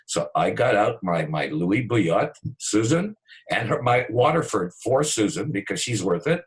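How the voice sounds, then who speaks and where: A man hosting an interview show, giving an expansive, flattering introduction and often repeating himself. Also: lowish voice, normal speed. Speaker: talk show host. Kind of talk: casual, effusive interview introduction.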